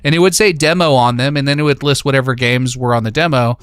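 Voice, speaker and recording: A man's voice: medium pitch, fairly even intonation, quick pace, fairly bright tone, clear, resonant tone. A man in his thirties casually chatting about video games on a live stream, reminiscing enthusiastically in slangy, informal speech.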